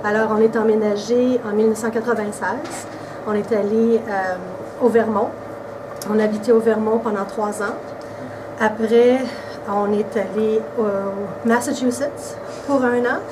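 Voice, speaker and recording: A woman in her forties from Quebec with a Québécois French accent, answering interview questions in a relaxed, casual way. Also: on the high side, a little slow. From Quebec, Canada. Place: indoors by a brick wall. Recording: distant camera mic with background hiss.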